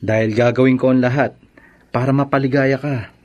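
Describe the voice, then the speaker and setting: An actor playing a devoted, earnest young husband-to-be with a Filipino accent in a radio drama. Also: male voice, medium pitch, steady tone, moderate pace, darkish tone, highs cut off, natural voice. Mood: tender. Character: devoted, earnest young lover and expectant father.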